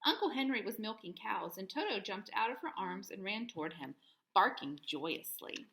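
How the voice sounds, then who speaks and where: Animated woman reading a storybook aloud. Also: medium pitch, moderate pace, a little hiss or hum. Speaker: teacher reading aloud to children. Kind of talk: expressive storybook read-aloud narration.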